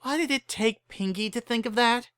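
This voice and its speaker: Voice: medium pitch, moderate pace, a bit bright, smooth, no room sound, clean studio sound, pitched above the performer's own voice, lightly acted. A narrator voicing a practical, fretful female farm pony, plain-spoken and skeptical in manner. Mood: doubtful.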